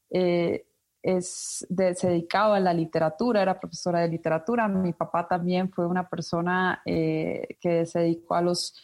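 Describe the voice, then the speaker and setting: A woman's voice: lowish voice, darkish tone. Ecuadorian-born woman, thoughtful interview guest. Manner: thoughtful, many fillers and restarts. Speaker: academic guest, researcher. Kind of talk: interview conversation.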